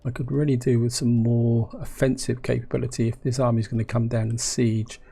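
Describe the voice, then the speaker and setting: A hoarse man with a cold, musing aloud as he plays a strategy game, often asking himself questions and speaking to his viewers. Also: medium pitch, little pitch movement, a little fast, warm tone. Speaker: gameplay commentator.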